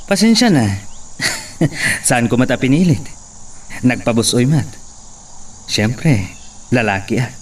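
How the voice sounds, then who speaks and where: A man's voice: medium pitch, big pitch swings, slow pace, pauses often, distant mic, slight background noise. An amateur actor playing a cocky young man who boasts and laughs, dropping English words into his talk. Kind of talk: amateur drama acting.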